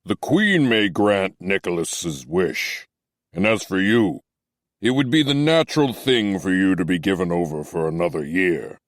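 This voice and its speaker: A man reading an audiobook voices a stern but kindly captain who speaks slowly and gently admonishes. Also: low voice, expressive, no room sound, clean studio sound. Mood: measured, stern. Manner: slow, measured, gently admonishing. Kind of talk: audiobook character voice.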